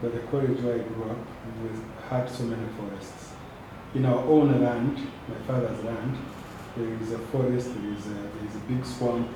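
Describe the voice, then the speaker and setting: A man from Uganda, a podcast guest, talking in a relaxed, rambling way and tagging his remarks with "eh?". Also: medium pitch, moderate pace, very echoey, background noise. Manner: relaxed, rambling, laughs easily, trailing tags like "eh?". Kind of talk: casual interview chat.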